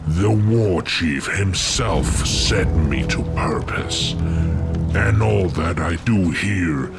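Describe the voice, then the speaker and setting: Villainous orc warlock for a fantasy game: bottom-register creaky voice, scornful, arrogant, deliberately menacing, music behind. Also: male voice, low-pitched, animated, full character voice. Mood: cold menace. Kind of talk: video game cutscene acting. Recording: loud music over the voice.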